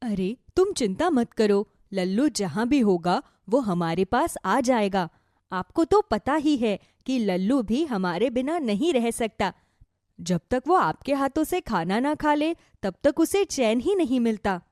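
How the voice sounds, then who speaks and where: A caring, reassuring village wife from a cartoon fable, acted by a woman. She soothes in a fairly high, animated voice, recorded very dry. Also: unhurried, dry recording. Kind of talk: Hindi animated moral story dialogue.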